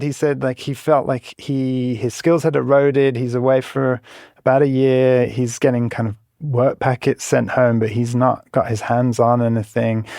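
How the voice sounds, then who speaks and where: A man's voice: mid-range voice, creaky, mellow, no echo, studio quality. A man in the role of a reporter, explaining a story in an informal, conversational way. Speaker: reporter being interviewed.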